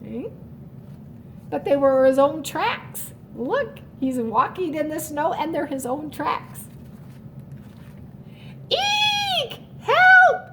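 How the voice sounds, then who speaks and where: Female storyteller for children: very high, lively pitch, unhurried, expressive, faint background rumble. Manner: animated, explains the story in asides. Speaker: story reader. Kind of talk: expressive children's read-aloud.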